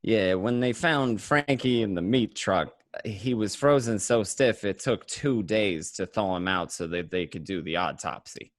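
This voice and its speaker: A man acting as an excitable mobster who tells his crime story conversationally, tripping over and repeating words. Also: lowish voice, a little slow, hardly any pauses, no echo, clean studio sound, close to an everyday voice. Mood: matter-of-fact. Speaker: amateur actor in an online radio play. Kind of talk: first-person dramatic monologue, conversational.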